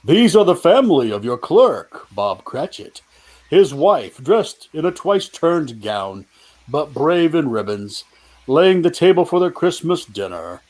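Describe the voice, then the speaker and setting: A man playing a solemn, stately spirit who speaks gravely and deliberately in a radio play reading. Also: fairly high pitch, very animated, a little mellow, low-quality recording. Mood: narrating, stately. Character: solemn, stately Christmas ghost guiding an old miser.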